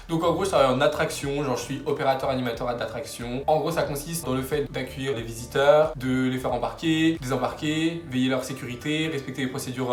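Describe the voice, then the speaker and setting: Chatty young man, slangy vlog in an echoey room. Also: mid-range voice. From France. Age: young adult. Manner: slangy, rambling, upbeat. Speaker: vlogger. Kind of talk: casual vlog talk. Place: room at home, building works nearby.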